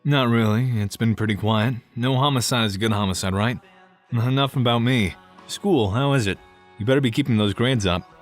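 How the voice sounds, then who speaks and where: Guy acting sarcastic blunt cop detective, audio crime drama, middling pitch, bit of music under it. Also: normal speed, close to an everyday voice. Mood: dry, casual. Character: sardonic, gruff adult male police detective.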